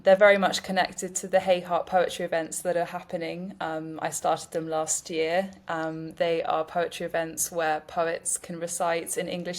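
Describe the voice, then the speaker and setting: A woman hosting a conversational podcast interview, speaking in a gracious, informal way. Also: on the low side. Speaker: podcast host and poet.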